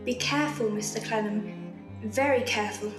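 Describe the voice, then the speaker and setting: A woman playing a gentle, timid young woman in an audio drama, hesitant and full of anxious concern. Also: medium pitch, normal speed, music in the background, lightly acted. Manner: hesitant, soft-spoken concern.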